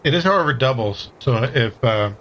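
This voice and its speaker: A man's voice: mid-range voice, expressive, moderate pace, fairly bright tone. A man talking casually as a player in a tabletop game, tentatively thinking his moves through aloud.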